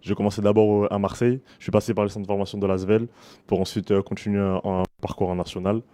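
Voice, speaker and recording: A man from France introducing himself as a basketball player, calm and hesitant. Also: fairly low pitch, fast. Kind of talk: self-introduction.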